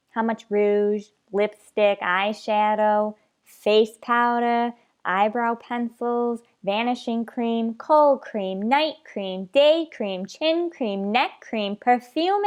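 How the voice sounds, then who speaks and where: A woman playing a sassy, vain tavern girl with a tart, skeptical manner in an old-time radio comedy. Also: on the high side.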